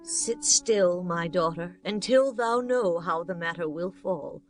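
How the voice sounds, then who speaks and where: A woman playing a caring older biblical mother-in-law with measured, formal speech in scripture drama. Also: fairly low pitch, faint music behind, poor audio. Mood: calm, motherly. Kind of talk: dramatized scripture audiobook acting.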